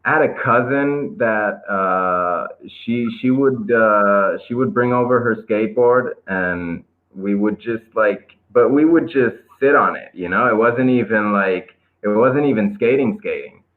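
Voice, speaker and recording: A man's voice: fairly low pitch, a little slow, few pauses. A young man from Israel with an American-tinged Israeli accent, chatting casually as an interview guest, loose and hesitant with lots of fillers. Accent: American-influenced English, Israeli. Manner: laid-back, hesitant with many fillers and restarts. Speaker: guest skateboarder. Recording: tinny phone-line call audio.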